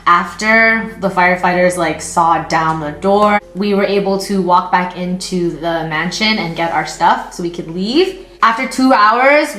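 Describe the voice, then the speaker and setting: A woman's voice: mid-range voice, pushed and bright, a little hiss or hum. A young woman telling a casual anecdote among friends in a chatty, conversational way. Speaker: storyteller. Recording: roomy echo, faint music behind.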